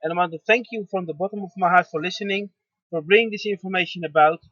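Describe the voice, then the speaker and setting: A man hosting an internet radio show, gentle and affirming, pausing often with fillers. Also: high-pitched, dry recording. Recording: dull lo-fi dry sound.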